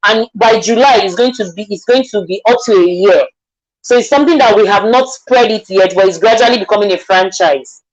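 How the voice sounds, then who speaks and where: A woman's voice: medium pitch, brisk, highs cut off. A Nigerian woman, a makeup artist, explaining things earnestly in a live online interview and often checking that she is understood. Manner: earnest, repeats herself, asks "do you understand".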